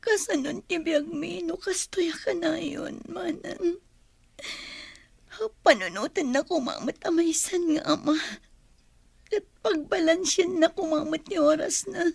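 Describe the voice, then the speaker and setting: A woman's voice: extremely high pitch, unhurried, vocal fry, no room sound, heavily acted. A woman with an Ilocano accent acting as an anguished, fiercely protective young mother in a radio drama, her voice tearful and melodramatic. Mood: tearful pleading. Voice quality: tearful, breaking voice. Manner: pleading, wailing, melodramatic.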